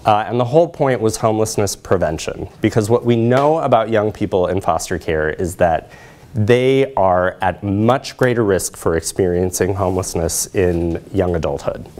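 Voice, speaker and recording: Low-pitched man presenting research. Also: moderate pace, darkish tone. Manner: conversational, checks in with audience using short tag questions. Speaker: social work researcher and presenter. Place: lecture room, standing by projection screen.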